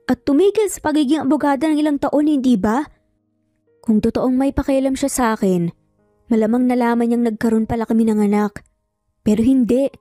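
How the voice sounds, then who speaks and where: A woman's voice: fairly high pitch, dark tone, dry recording, clean studio sound, lightly acted. A woman voicing a resolute, principled woman lawyer with an earnest, emphatic way of talking in a dramatic story.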